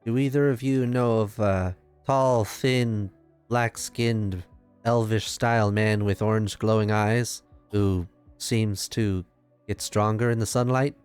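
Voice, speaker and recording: A determined young hero type of mortal adventurer, acted by a man, earnest and persuasive. The male voice is very smooth and sits slightly low, with soft music behind. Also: steady tone, slow pace. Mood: sincere.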